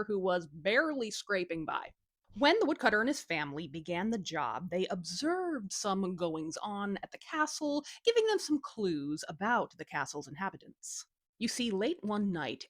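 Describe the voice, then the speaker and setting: Woman storyteller, highly animated intonation, casual retelling, cheeky asides, dry close recording. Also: dry recording. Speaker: storyteller, host. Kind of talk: casual scripted folktale retelling.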